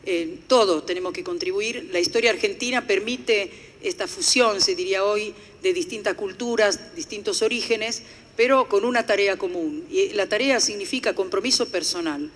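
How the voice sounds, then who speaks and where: A woman's voice: mid-range voice, moderate pace. An older woman from Italy with an Italian accent, giving a formal lecture to a conference audience. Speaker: conference speaker. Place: conference hall. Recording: echoey hall.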